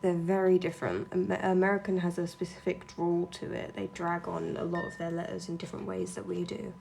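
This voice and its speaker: A young woman with a low, gravelly voice that stays flat in pitch. She rants bluntly and swears freely while she chats over her drawing on a home stream. A faint hiss sits behind her. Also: a little fast. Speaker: streamer and artist.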